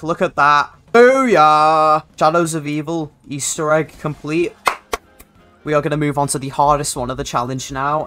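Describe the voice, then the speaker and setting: A man's voice: fairly high pitch, a bit bright. A young man with a hybrid North London and Liverpool accent, giving chatty, rambling, slangy gameplay commentary. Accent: hybrid of North London and Liverpool English. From England (North London, grew up in Liverpool). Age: young adult. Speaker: gaming video creator.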